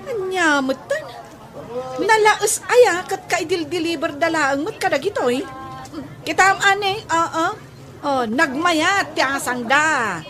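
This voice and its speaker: A woman's voice: very high-pitched, expressive, slow pace, heavily acted. A woman playing a scolding market fish-vendor wife in an Ilocano comedy radio drama, with an Ilocano accent. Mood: indignant. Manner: scolding, loud vendor calls. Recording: noisy mix with faint crowd behind.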